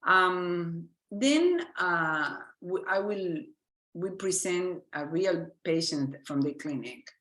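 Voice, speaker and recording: A woman with an Argentine Spanish accent gives a lecture in a fairly low voice. She speaks earnestly and keeps restarting her sentences while she hunts for the right word. The room is echoey. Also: expressive, slow pace, fairly bright tone. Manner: earnest, searching for words, frequent restarts. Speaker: physician lecturer. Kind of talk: lecture presentation.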